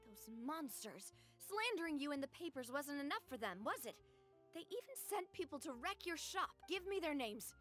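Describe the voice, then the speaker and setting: A bold young female gang leader with a high, lively voice that swoops up and down. She is fiercely loyal and animated, with soft game music behind. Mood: indignant. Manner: animated and protective, quick to anger, laughs it off. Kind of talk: game voice acting.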